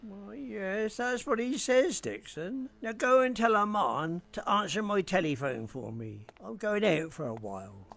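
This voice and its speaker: A man plays a suave, sly official with a voice that swoops lively up and down. He speaks in a smooth, measured way. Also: high voice, exaggerated voice. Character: suave adult male shipping official. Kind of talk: amateur radio play acting.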